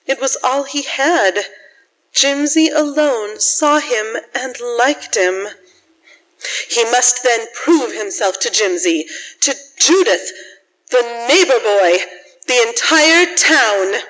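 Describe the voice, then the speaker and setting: A woman narrating a scripted story for a radio drama in a measured storytelling manner. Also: fairly high pitch, expressive, a bit bright, rough recording.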